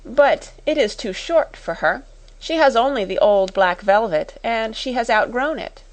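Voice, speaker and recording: A woman's voice: medium pitch, animated, moderate pace, lightly acted, rough recording. A woman voicing a timid, tearful, bewildered meek sister in a storybook, anxious and stammering. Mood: flustered. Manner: stammering, anxious, breathy panting.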